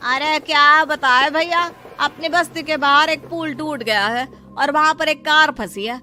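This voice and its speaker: A woman's voice: high voice, measured pace, bright, forceful tone, soft background music, pitched above the performer's own voice. A woman voicing a worried, cautious slum neighbour man with urgency in a dramatized story. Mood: alarmed.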